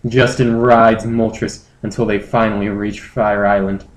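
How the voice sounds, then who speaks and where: A man's voice: on the low side, little pitch movement, measured pace. Amused man narrating in a roomy space. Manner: amused, mock-serious. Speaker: podcast narrator. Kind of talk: comedic dramatic story reading.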